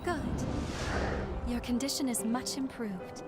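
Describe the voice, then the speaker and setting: A woman voicing a caring, earnest doctor in a video game cutscene, gentle and articulate, with feeling under the surface. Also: fairly high pitch, a little slow, close to an everyday voice. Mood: warm, reassuring. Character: caring, earnest woman doctor nursing her patient, quietly resentful. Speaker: doctor character. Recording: music under the voice.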